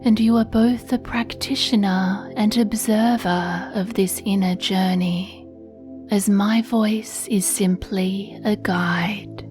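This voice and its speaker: A woman leads a scripted sleep meditation very slowly, leaving long pauses between phrases. Her voice is mellow and relaxed, soothing and hushed, with a breathy edge. Faint music plays behind her. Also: medium pitch. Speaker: meditation guide.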